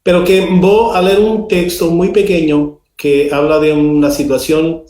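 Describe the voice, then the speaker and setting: A man whose first language is Portuguese, speaking slowly and hesitantly in Spanish and then Portuguese with a Portuguese accent, as a guest speaker on a panel. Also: on the high side, flat delivery, darkish tone, echoey room. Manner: slow, deliberate, hesitant. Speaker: invited speaker, school librarian expert. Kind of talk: reading a text aloud in halting Spanish, then talking in Portuguese.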